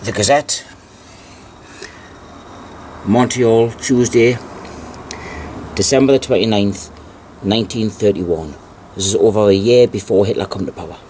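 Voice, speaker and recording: Low-voiced man reading aloud, noisy recording. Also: warm tone. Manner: deliberate, repeats phrases for emphasis. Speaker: amateur video presenter. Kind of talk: informal show-and-tell narration, reading aloud from newspapers.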